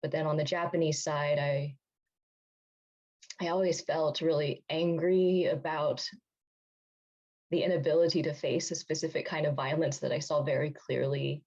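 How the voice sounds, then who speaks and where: Guest poet, woman with a deep low voice, earnest and halting, dull muffled line. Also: steady tone, darkish tone. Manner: earnest, pausing and restarting. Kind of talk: reflective panel conversation.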